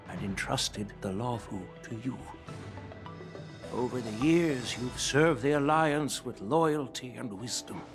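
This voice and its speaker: A man's voice: mid-range voice, natural voice. A man voicing a seasoned elder general, grave and formal, in an anime-style video game. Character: stern, seasoned elder general, weighty and frank.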